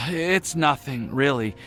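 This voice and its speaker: Male game role: high, bright, very animated awkward young romantic, hesitant and stammering. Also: extremely slow, a little hiss or hum. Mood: downcast. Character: nervous, lovesick, awkward young man. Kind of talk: anime-style game voice acting.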